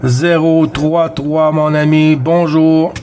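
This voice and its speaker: Very noisy radio static surrounds a man with a Quebec French accent and a fairly high voice. He works as a CB operator, speaking slowly in repeated friendly greetings and casual contact calls. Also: little pitch movement. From Quebec, Canada.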